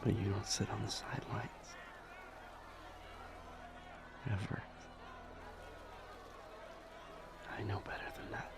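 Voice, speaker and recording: Low-voiced, shaken demon lover, acted by a man. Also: completely flat delivery, noisy background. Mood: tender, worried. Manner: halting, stammering, breathy urgent delivery. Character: shaken, devoted male demon lover facing disaster. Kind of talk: intense dramatic audio roleplay acting.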